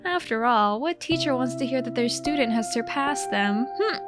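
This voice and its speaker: Brief: female storyteller, high voice, mock-serious comic diary read, theatrical, music underneath. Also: expressive, normal speed. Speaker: storyteller, content creator. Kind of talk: scripted first-person comic diary narration.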